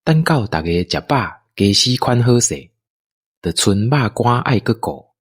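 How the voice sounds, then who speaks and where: Male narrator, Taiwanese accent. Very animated intonation, leisurely pace, storytelling for audio drama. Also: fairly low pitch, husky, no echo, studio quality. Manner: unhurried, expressive storytelling delivery.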